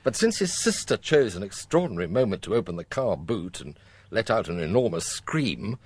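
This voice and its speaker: A man's voice: on the low side, big pitch swings, moderate pace, a little mellow, breathy edge, no room sound. An actor playing a senior police chief in a radio drama, formal in his wording and dryly sardonic.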